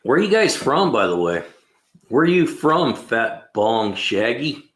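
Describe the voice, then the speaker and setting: A man's voice: medium pitch, big pitch swings, a little slow, a bit bright. A man in his sixties hosting a casual livestream chat, laid-back and rambling, often saying "man" and "you know.". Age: 60s. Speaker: livestream host and mechanic. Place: garage. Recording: echoey room.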